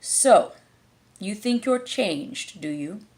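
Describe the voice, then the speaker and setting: Slow, curt caterpillar, read by a woman. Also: mid-range voice, expressive, a little mellow. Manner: slow, clipped, contradicting. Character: slow, curt, contrary caterpillar smoking a hookah.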